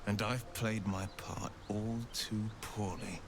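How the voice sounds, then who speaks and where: Proud penitent hero warlock, video game, eloquent and theatrical, formal wording, noisy behind him. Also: male voice, lowish voice, fairly even intonation, slow pace. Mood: brooding. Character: proud, penitent heroic monster-hunting warlock man. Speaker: video game companion character. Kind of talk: dramatic video game voice acting. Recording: game audio with clear background noise.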